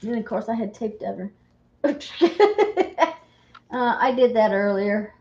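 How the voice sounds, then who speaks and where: A woman hosting a craft livestream, chatting casually and greeting viewers by name as she works, her voice slightly croaky from a cold. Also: fairly high pitch, lively intonation, takes it slowly, a little mellow, muffled recording. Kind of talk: casual chat while crafting.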